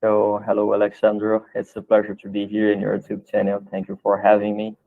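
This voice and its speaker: A man's voice: fairly low pitch, monotone, brisk, vocal fry, narrow, tinny audio. A man in his early thirties with a Brazilian accent, answering questions as a guest in a casual interview. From São Paulo state, Brazil. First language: Portuguese. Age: early 30s.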